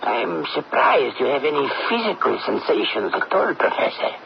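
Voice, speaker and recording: Gravelly, weary dead general. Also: male voice, fairly high pitch, sing-song, normal speed, heavily acted. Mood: weary, brooding. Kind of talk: old-time radio drama acting. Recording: muffled, noisy old radio broadcast.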